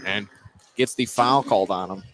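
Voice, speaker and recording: A man giving casual, conversational color commentary at a live basketball game, with halting phrasing. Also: lowish voice. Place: indoor high school basketball gym, courtside. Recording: live gym broadcast, crowd noise behind. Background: clear crowd noise.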